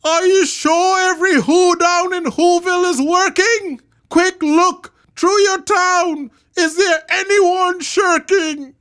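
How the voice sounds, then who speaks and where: A woman doing a squeaky, earnest elephant. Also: steady tone, takes it slowly, few pauses, a bit bright, full character voice. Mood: urgent. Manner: squeaky high put-on voice, pleading. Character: earnest kindhearted male elephant, big and gentle. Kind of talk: storybook read-aloud.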